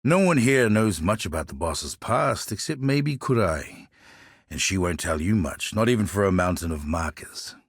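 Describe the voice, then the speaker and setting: An actor playing a sly, world-weary male barber and informant in a video game, husky and wry in his phrasing. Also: low-pitched, animated, moderate pace, no echo, clean studio sound, heavily acted. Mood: gossipy, wry. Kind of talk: scripted video game character dialogue.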